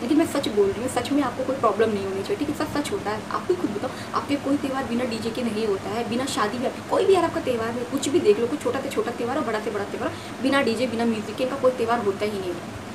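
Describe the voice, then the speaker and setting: A young woman speaks in a fairly high voice, ranting emphatically to camera. She repeats herself and fires off rhetorical questions. The phone recording is clearly noisy and sounds a little distant from the mic. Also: fast talker. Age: young adult. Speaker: vlogger. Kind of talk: opinionated rant to camera.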